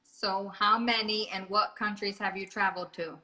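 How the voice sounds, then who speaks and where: A woman's voice: medium pitch, steady tone, moderate pace, very noisy. A woman in her forties co-hosting a live interview, talking in a chatty way with many ums. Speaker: co-host. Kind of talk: casual live-stream interview.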